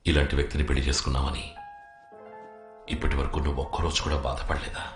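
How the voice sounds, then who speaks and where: A man playing a stoic, terse field agent and devoted husband in an action film, speaking gravely and sparely. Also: very deep voice, level tone, fast talker, over music. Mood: grave, tender. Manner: laconic, grave. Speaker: film actor. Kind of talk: Telugu action film dialogue. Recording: echoey film audio, loud background score.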